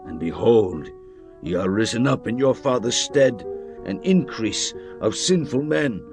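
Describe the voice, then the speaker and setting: A man's voice: mid-range voice, lively intonation, a little slow, creaky. An actor playing Moses as a stern male leader rebuking his people, in a solemn, formal scripture dramatization. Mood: stern rebuke. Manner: solemn, admonishing, formal archaic phrasing. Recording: music behind the voice.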